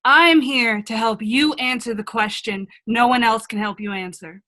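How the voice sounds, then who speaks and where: A woman plays a wise older fairy-tale self speaking out of a magic mirror. Her voice is fairly high and very bright, and she counsels frankly, with earnest reassurance, in radio play style. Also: moderate pace. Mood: matter-of-fact. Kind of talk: comedic radio play acting.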